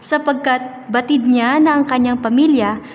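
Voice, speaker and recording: A woman's voice: high-pitched, moderate pace, a little hiss or hum. A woman narrating a scripted radio drama in a formal, read-aloud storytelling manner. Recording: dull sound with hall reverb.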